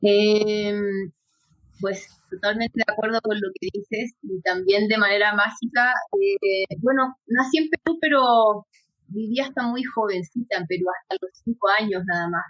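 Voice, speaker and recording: A woman raised in Ecuador talks as an interview guest, telling her story in a relaxed way with frequent laughs and filler pauses. Her voice sits at a middle pitch. The audio sounds somewhat dull and lo-fi. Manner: warm storytelling, laughs often, hesitant fillers. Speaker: guest, actress and yoga instructor. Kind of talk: conversational interview.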